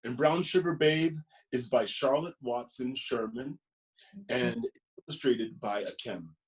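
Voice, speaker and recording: A man reading a children's picture book aloud expressively, with gentle emphasis and friendly asides to the listener. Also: medium pitch, a little slow, poor audio. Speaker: storybook reader.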